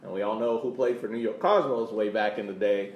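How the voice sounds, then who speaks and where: Male co-host, lowish voice, halting explanatory chat, sitting back from the mic. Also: dull audio. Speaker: sports podcast co-host. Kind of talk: casual soccer discussion. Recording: roomy, distant mic, faint noise.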